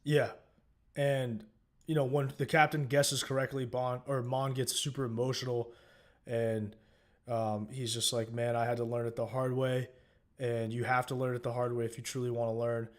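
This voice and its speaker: A man's voice: medium pitch, normal speed. A young man co-hosting a casual TV recap podcast, retelling scenes with lots of fillers and restarts. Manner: animated storytelling with many fillers and restarts. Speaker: podcast co-host.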